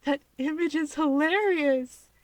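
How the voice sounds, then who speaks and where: Casting: bright-spirited young female guild mage read by a narrator, teasing and warm-hearted, lifted pitch, dry recording. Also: measured pace, dry recording. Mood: curious, happy. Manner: playful, teasing.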